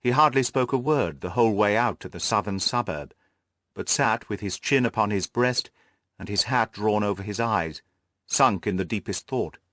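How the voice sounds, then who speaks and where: A man's voice: fairly low pitch, a bit bright, dry recording, clean studio sound. A man reading scripted literary narration as an audiobook narrator.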